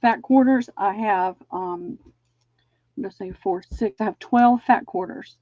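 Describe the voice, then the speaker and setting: A woman's voice: mid-range voice, slow pace, no echo. Middle-aged woman, Southern accent, folksy live-sale host, dry close sound, dull lo-fi stream audio. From Georgia, US. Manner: chatty, folksy, describes fabric while measuring. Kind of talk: casual live-stream selling.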